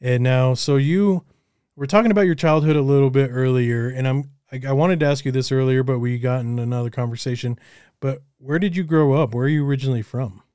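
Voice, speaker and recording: Smooth-voiced male interview host. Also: mid-range voice, brisk, dry recording, clean studio sound. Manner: warmly affirming, short encouraging replies. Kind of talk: casual interview.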